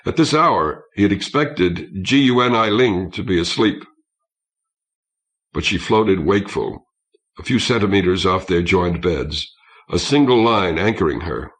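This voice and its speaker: Low-voiced man narrating with lively intonation. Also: measured pace. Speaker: audiobook narrator. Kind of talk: scripted narration of a science fiction story. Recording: old cassette audiobook transfer.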